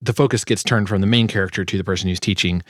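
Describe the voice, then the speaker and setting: A man's voice: deep voice, steady tone, quick pace, dry recording, studio quality. A man giving a casual, explanatory walkthrough as a sound designer presenting his work.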